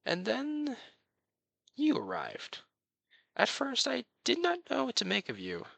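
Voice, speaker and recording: A man playing a courtly young crown prince, besotted and quietly possessive, speaking formally and floridly to the listener in a romantic audio roleplay. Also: very high voice, wildly swooping pitch, takes it slowly, creaky, no echo, heavily acted. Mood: smug, adoring. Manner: formal, flowery, genteel speech.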